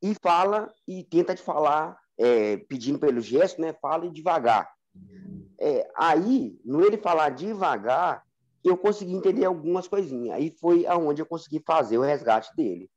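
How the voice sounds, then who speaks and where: A Brazilian man, a student in an online class, joking and flattering, telling stories animatedly over a video call. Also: fairly high pitch, moderate pace. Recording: dull lo-fi call audio.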